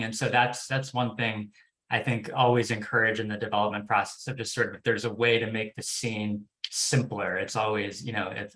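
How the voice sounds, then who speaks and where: A man's voice: mid-range voice, a bit bright. A man giving explanations as a development executive on a panel, hedging and repeating words as he thinks. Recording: echoey, roomy sound.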